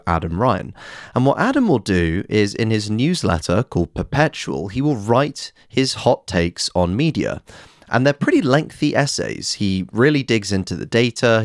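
Casting: male podcast host, lower register, lively melodic swings, instructive and direct, scripted solo delivery. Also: no room sound, clean studio sound. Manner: addresses listeners directly, explanatory, rhetorical questions.

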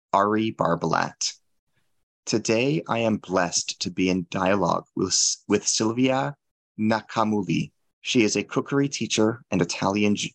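A man hosting an interview podcast in a formal, deliberate, scripted and very courteous manner. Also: on the low side, vocal fry, no room sound. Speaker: podcast host.

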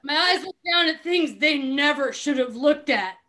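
Bright, high-pitched woman reading aloud for laughs. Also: expressive, normal speed. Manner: giggly, sarcastic, breaks up while reading. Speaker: podcast host reading a book aloud. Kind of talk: comedic dramatic reading with casual asides.

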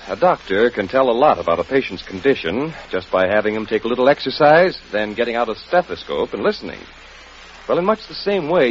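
A man reading a scripted 1940s radio commercial as a folksy announcer who addresses listeners directly. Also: mid-range voice. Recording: muffled, faintly noisy vintage radio broadcast.

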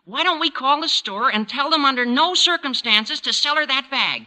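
An actor playing an eager, gawky teenage schoolboy in an old radio comedy, with a squeaky, drawn-out voice. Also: male voice, animated, bright, forceful tone, heavily acted, poor audio. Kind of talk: 1940s-50s radio comedy acting.

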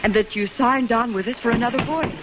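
A woman's voice: a little high, quick pace, close to an everyday voice. An actress playing a kind, motherly and concerned captain's wife in an old radio drama. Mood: reassuring. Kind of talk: 1940s radio acting. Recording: very noisy old radio recording.

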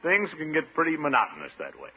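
Blustery, swooping pompous American bachelor. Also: male voice, fairly high pitch, huge pitch swings, quick pace. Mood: chatty, grumbling. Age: middle-aged. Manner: blustery, theatrical, chuckling. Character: pompous, blustery middle-aged bachelor water commissioner. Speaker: actor in a radio comedy. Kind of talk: classic radio comedy acting. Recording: tinny, noisy old radio recording.

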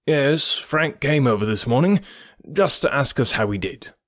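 A reader voicing a genial middle-aged gentleman in an audiobook, brisk and reassuring. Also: medium pitch, animated, no echo, clean studio sound, pitched above the performer's own voice, natural voice. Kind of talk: audiobook character voice.

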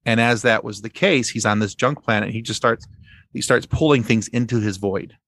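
A man speaking as a podcast guest in casual fan discussion, haltingly repeating phrases as he explains. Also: on the low side, fairly bright tone, no echo, studio quality.

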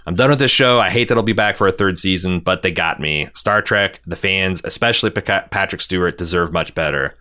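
A man's voice: sits low, brisk, hardly any pauses, sharp tone. A man hosting a podcast, casually recapping television and reading out listener feedback in an opinionated, exasperated way with some swearing. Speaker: podcast host.

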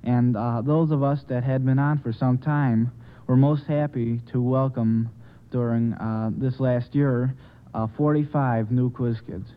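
A young American man reads a report on an old radio show in a very clear, smooth voice. He is earnest and formal, pausing with hesitations, and the vintage recording is thin and narrow. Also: medium pitch, steady tone. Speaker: quiz show panelist. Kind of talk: reading a prepared report on radio.

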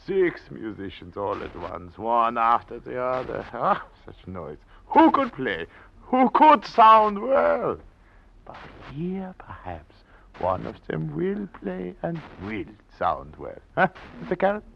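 An actor playing an eccentric, exacting old music master in a radio drama, fussy and emphatic in broken phrases. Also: male voice, mid-range voice, wildly swooping pitch, slow, gravelly, heavily acted. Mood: grumbling, musing. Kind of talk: old-time radio acting. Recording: dull vintage radio with faint hiss.

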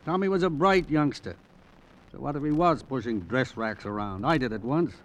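An actor playing a hard-driven, self-pitying older garment boss in a melodramatic old radio drama style. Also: male voice, medium pitch, normal speed, natural voice. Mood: mournful. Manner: melodramatic, repetitive, colloquial. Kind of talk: 1940s-50s radio drama acting. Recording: muffled, noisy vintage radio recording.

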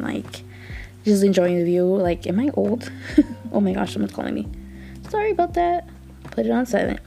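A young woman from Southern California hosting a podcast in casual, bubbly chat, her voice a little raspy from just waking up. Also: medium pitch. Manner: chatty, bubbly, laughs often, quiet morning talk. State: slightly raspy morning voice. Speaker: podcast host.

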